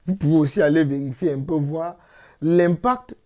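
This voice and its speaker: A middle-aged man from Cameroon answering interview questions in a measured, explanatory way with emphatic repetitions. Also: fairly high pitch, a little mellow, highs cut off. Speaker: interviewed guest, language teacher.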